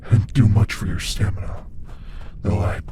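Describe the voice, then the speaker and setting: A man voicing a playful, sadistic monster who taunts his prey in an ASMR roleplay, often slipping into breathy whispers. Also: normal speed, very noisy, natural voice. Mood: breathless frustration.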